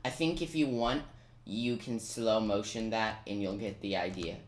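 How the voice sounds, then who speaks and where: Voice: medium pitch, moderate pace. A person giving a casual step-by-step video game guide, talking directly to viewers with chatty asides. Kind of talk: casual step-by-step gameplay commentary. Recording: roomy echo, noisy, keyboard clicks.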